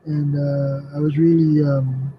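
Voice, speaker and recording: Slow, halting man in a home studio. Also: on the high side, flat delivery. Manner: hesitant, halting, many fillers and repeated words. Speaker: artist and vlogger. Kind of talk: casual reflective chat while drawing. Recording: slightly dull lo-fi sound with faint background noise.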